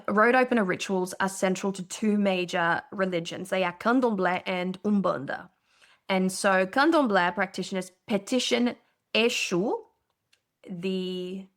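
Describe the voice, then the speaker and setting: A woman's voice: mid-range voice, fairly bright tone. Enthusiastic Brazilian-born woman podcaster. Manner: enthusiastic, explanatory, occasional swearing. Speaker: podcast co-host. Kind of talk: casual podcast chat.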